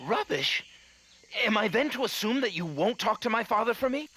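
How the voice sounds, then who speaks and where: An actor playing an earnest, idealistic young prince in an animated adventure, speaking sincerely and formally with fervor. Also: male voice, sits high, moderate pace, heavy background noise. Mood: fervent. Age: young adult. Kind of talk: animated adventure drama acting.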